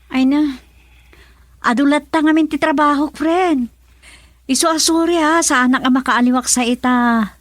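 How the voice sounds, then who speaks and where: An actress as a high-voiced, busy Ilocano friend. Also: female voice, steady tone, moderate pace, long pauses, no room sound, lightly acted. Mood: apologetic. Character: busy, reluctant female friend working abroad. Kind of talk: Ilocano radio drama acting.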